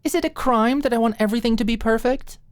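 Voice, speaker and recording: A woman voicing an excitable, gushing, easily flustered young woman in an audiobook. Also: on the high side, fast, no echo, voice pushed up, close to an everyday voice. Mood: pouty. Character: bubbly, eager, self-doubting young woman hosting a sleepover. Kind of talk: audiobook character dialogue.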